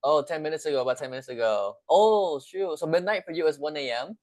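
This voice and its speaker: A man's voice: fairly high pitch, quick pace, darkish tone, no room sound. A man hosting online chemistry office hours, chatting casually with lots of fillers and repeated phrases over a video call. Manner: friendly, filler-heavy, repeats himself. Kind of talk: casual chat.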